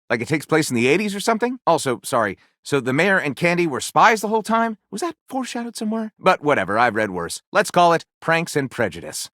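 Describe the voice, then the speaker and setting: A man's voice: mid-range voice, fairly bright tone, no echo, clean studio sound. A man voicing a talking book in a video game, a glib and sardonic literary critic with theatrical enthusiasm, speaking in chatty monologue. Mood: skeptical, glib. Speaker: dateable game character reviewing and titling stories. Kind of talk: video game character voice acting, conversational monologue.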